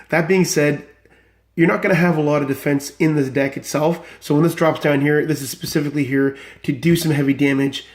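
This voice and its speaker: Enthusiastic male deck reviewer, slightly high voice, still recovering from illness, chatty and rambling. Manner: enthusiastic, rambling with many fillers. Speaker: card game deck reviewer. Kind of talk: casual deck walkthrough.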